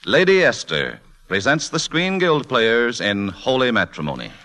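A man's voice: mid-range voice, big pitch swings, normal speed, sharp tone, faint music behind. A man announcing a 1940s radio broadcast in a grand, emphatic scripted style.

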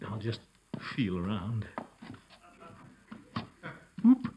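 An actor playing a blustering, jittery middle-aged amateur sleuth in 1940s radio comedy, muttering to himself and breaking into theatrical exclamations. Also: male voice, on the low side, huge pitch swings, extremely slow, background noise, full character voice. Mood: nervous. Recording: old radio broadcast with music behind.

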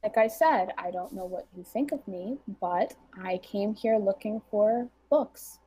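A woman's voice: mid-range voice, measured pace, mellow, husky, no room sound, poor audio. A woman playing her character in a tabletop game, polite and firm while she stays evasive. Her voice sits in the middle range, and the close mic sounds dry. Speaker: player.

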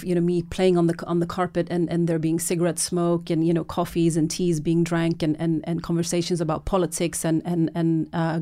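A woman's voice: on the low side, dark tone. A woman from Norway, a documentary filmmaker, giving long, earnest interview answers full of repeats and restarts.

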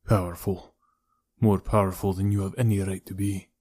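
Man as a low, weary, grave older uncle. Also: no room sound, studio quality. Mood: deadpan. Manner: measured, deadpan, subdued. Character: grave, weary, aging uncle, protective and regretful. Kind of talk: audiobook character dialogue.

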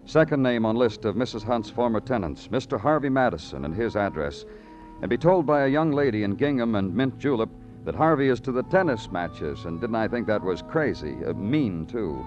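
An actor playing a terse, patient police detective in a 1950s radio crime drama, with a clipped, deadpan delivery. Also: male voice, lowish voice, moderate pace. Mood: wry narration. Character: terse, dry, patient male police detective narrating his case. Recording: dull old radio recording, music behind.

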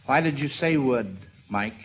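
A man's voice: mid-range voice, animated, unhurried, loud music behind the voice. A man hosting an old-time radio quiz for children, speaking with hesitations in a vintage broadcast recording. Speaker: quiz show host.

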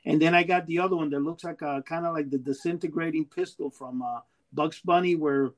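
A middle-aged man from Cuba chatting casually and excitably, often repeating his words. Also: fairly high pitch, brisk, rough recording. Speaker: toy and memorabilia collector. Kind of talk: casual chat.

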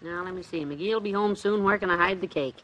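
An actress playing a sensible, homey middle-aged housewife with a wry manner in a 1950s radio comedy. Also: female voice, lowish voice, a little fast, muffled recording, natural voice. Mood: busy, cheerful.

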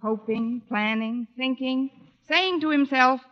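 A woman's voice: high-pitched, slow pace. An actress playing a wry, wisecracking female English teacher in a 1940s radio sitcom, with dry, arch delivery. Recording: dull, noisy vintage radio recording.

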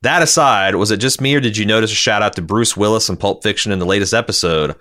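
Bright, forceful man hosting a podcast. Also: on the low side, fast. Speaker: podcast co-host reading listener feedback. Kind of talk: casual chat and reading listener messages aloud.

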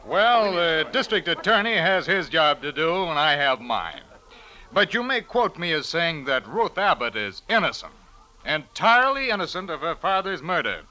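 Bitter attorney, middle-aged, sardonic, forceful bright tone, vintage radio drama. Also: male voice, on the high side, very animated, moderate pace, a little hiss or hum, rough recording. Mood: pompous, confident. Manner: sardonic, pointed, theatrical. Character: bitter, resentful middle-aged male lawyer with guilty past. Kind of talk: 1940s radio drama acting.

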